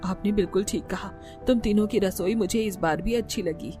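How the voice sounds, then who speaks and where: A woman's voice: medium pitch, a little fast, music in the background, close to an everyday voice. A woman voicing a stern middle-aged mother-in-law in a cartoon, firm and authoritative. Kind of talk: cartoon voice acting.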